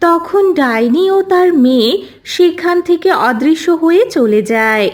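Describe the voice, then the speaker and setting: A woman's voice: high voice, animated, unhurried. A woman reading scripted narration for a cartoon story.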